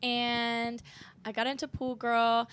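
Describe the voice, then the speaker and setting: Bright, higher-voiced young woman. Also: monotone, slow, a little hiss or hum. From New Hampshire, US. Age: young adult. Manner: breezy, laughing. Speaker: rhythm guitarist. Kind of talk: casual chat.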